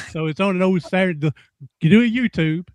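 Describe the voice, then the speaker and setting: A man's voice: high-pitched, normal speed, darkish tone, no echo. A man in his sixties hosting a podcast interview, folksy and rambling, often repeating himself. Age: 60s. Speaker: podcast host. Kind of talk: casual interview chat.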